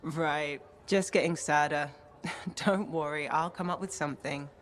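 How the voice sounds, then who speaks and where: Low-voiced, dry-witted bookish code-breaker. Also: female voice, fairly even intonation, measured pace. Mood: dry, teasing. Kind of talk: video game dialogue acting.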